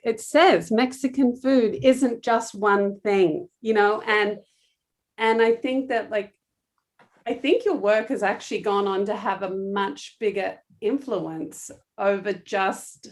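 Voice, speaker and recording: A woman with an Australian accent, interviewing a guest in a rambling way with lots of hedges. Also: mid-range voice. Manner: rambling, hedging with "kind of" and "like". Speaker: interviewer, cookbook author. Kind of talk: conversational interview.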